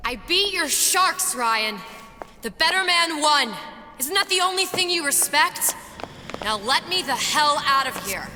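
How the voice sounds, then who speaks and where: Bright-voiced, determined clever young woman. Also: high-pitched, expressive, unhurried, exaggerated voice. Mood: defiant. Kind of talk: video game dramatic acting. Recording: long reverb with faint noise.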